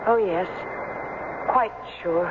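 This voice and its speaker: A woman's voice: lowish voice, takes it slowly. A woman acting a guarded, businesslike assistant in a 1970s radio drama, speaking tersely and with reserve. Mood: reserved, evasive. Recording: muffled, noisy 1970s radio recording.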